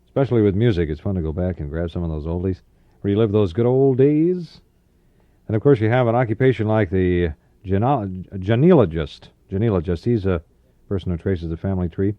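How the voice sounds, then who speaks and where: A man's voice: low voice, a little fast, no room sound, muffled recording. An American man presenting as a 1960s AM radio DJ and announcer. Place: dry radio studio. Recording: 1960s radio aircheck, music behind.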